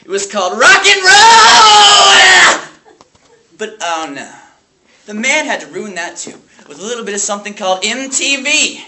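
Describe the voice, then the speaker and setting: A man's voice: high-pitched, sing-song, unhurried, voice pushed up. A man performing a theatrical monologue as an angry, defeated substitute teacher, sarcastic and explosive, ranting at children. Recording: echoey room with faint noise.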